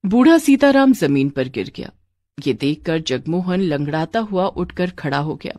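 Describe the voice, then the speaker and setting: Animated female storyteller. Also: on the low side, normal speed, dry recording, studio quality. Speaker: narrator. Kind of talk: scripted storytelling narration.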